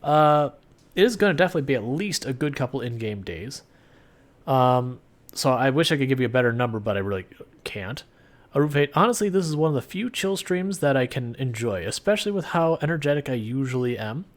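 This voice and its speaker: Man, game streamer, calm, soothing, laid-back, mid-pitched, live commentary. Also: fairly quick.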